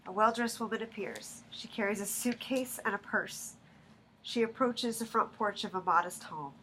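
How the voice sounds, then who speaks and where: Woman narrator, scripted stage directions, forceful bright tone, medium pitch, slight background noise. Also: moderate pace, low-quality recording.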